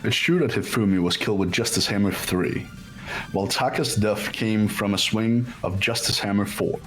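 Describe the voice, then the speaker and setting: A man playing a serious, exacting student in an amateur game dub, speaking deliberately. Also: lowish voice, faint music behind, natural voice, poor audio. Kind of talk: scripted amateur visual novel voice acting.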